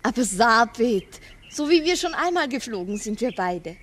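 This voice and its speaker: A woman's voice: fairly high pitch, very animated, normal speed. An actress playing an eager little village girl in a 1960s radio drama, childlike, wistful and coaxing. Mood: wistful pleading. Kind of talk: 1960s German-language radio drama acting. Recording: old mono radio, birdsong noise.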